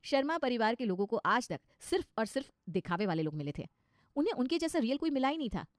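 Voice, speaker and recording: A woman's voice: a little high, big pitch swings, fast talker, no echo, clean studio sound. A woman narrating a scripted cartoon story as its storyteller.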